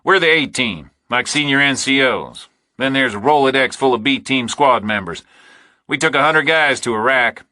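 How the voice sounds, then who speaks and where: A man's voice: mid-range voice, expressive, moderate pace, bright, forceful tone, no room sound, pitched above the performer's own voice. A man voicing a menacing ex-military contractor in an audiobook thriller, with combative, clipped tough talk. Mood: proud, forceful. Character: hard, menacing male military contractor, ex-soldier.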